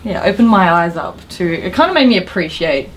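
A woman's voice: lowish voice, big pitch swings, brisk, slight background noise, low-quality recording. A woman co-hosting a podcast, chatting casually about video games in an informal, hesitant way with trailing thoughts. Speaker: podcast co-host.

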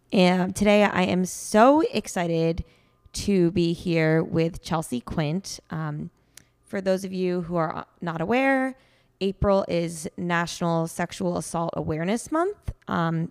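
Hoarse woman hosting a chatty podcast. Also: fairly low pitch, a little mellow. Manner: chatty, upbeat, frequent "um" fillers. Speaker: podcast host. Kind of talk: casual podcast interview.

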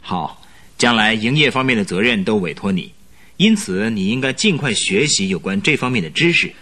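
An actor playing an energetic, domineering businessman in a radio drama, talking in a brisk, commanding, talkative way. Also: mid-range voice, full character voice. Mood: assured, instructing.

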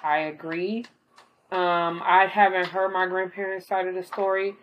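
Young woman, slightly hoarse, low-pitched, casual rambling monologue, filler-heavy, faint background noise. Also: flat delivery, measured pace, lo-fi sound. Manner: rambling, filler-heavy, talks while gaming. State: slightly hoarse, recovering from illness. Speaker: podcaster. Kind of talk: casual late-night monologue.

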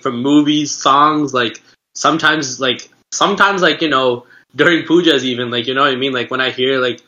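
A young man talks quickly in a bright, forceful voice. He speaks earnestly and haltingly, leaning on fillers as he searches for words. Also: medium pitch. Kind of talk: casual podcast chat.

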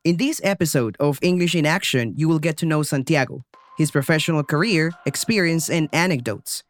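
A man's voice: a little high, fairly quick. A man hosting an interview podcast as an English teacher, upbeat and enthusiastic.

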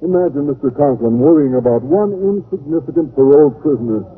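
A man's voice: a little high, expressive, fast talker, lightly acted. An actor playing an earnest, naive and literal-minded male science teacher in an old radio comedy. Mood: earnest, puzzled. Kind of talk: 1940s-50s radio comedy acting. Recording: noisy old radio recording, narrow band.

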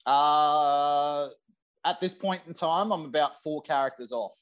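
A man's voice: a little high, a little slow. Man chatting on a gaming podcast. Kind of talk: casual gaming podcast chat.

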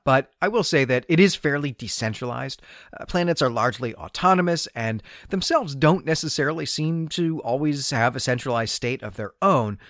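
A man's voice: mid-range voice, fairly bright tone, no echo. Man hosting a podcast, very dry sound. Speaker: podcast host. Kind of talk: solo book discussion podcast, semi-scripted.

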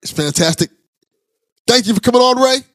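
Lively, encouraging male podcast host. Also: very high-pitched, brisk, no room sound, clean studio sound. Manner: effusive, encouraging. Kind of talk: casual interview chat.

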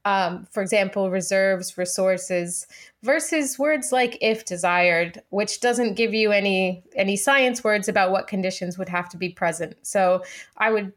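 A woman's voice: medium pitch, a little slow. Articulate, dryly wry female podcast co-host. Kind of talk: conversational market discussion.

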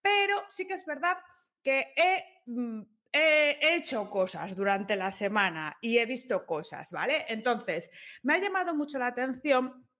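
A woman's voice: a little high, lively intonation, a little slow, fairly bright tone. A young woman from co-hosting a podcast, explaining work news with jokes and asides. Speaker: podcast co-host. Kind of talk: casual podcast chat.

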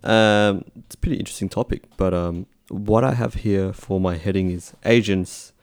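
Male host, lowish voice, chatty and encouraging. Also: clean tone. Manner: quick encouraging interjections. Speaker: podcast host. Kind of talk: casual interview chat.

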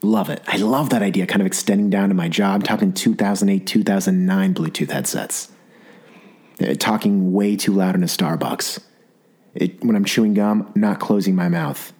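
A man with a very low, husky voice, roughened by a sore throat. He hosts his own podcast as a young adult, spinning a rambling comic monologue. He trips over his words and restarts often, with animated swings up in pitch. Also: moderate pace. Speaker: podcast host. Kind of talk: comedic solo monologue.

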